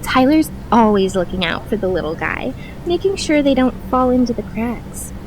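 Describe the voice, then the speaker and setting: Sugary, catty young woman, played by an actress, lively pitch. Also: normal speed, noisy background. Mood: teasing. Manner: gushing, syrupy, feigned friendliness. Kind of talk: audio drama acting.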